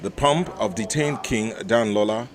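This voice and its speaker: A man's voice: fairly low pitch, a little slow. A man giving explanatory commentary on a stage play. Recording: clear background noise, clicks.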